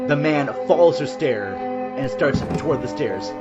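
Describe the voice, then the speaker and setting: Animated male horror narrator over loud music. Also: medium pitch, moderate pace, highs cut off. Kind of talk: scripted dramatic horror narration. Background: loud music and sound effects.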